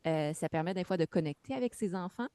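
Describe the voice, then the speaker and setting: A woman explains her field as a practitioner on a webinar panel, with many fillers along the way. Her voice sits low, and the sound is dry, close and free of room echo. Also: animated, fast talker, mellow, smooth. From Quebec. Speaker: mental health practitioner on a panel. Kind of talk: webinar talk.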